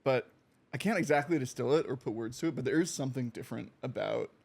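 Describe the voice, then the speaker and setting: A man co-hosting a podcast, asking questions in a relaxed, casual way and swearing freely. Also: mid-range voice, fast talker, gravelly. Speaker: podcast co-host. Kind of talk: casual conversational interview.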